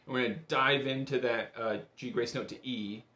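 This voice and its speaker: A man's voice: mid-range voice, steady tone, normal speed, sharp tone. A man in his thirties giving an informal, step-by-step music lesson in an easygoing, encouraging way, recorded in a small room. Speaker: bagpipe instructor. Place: small indoor home workroom. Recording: roomy, echoing small-room sound.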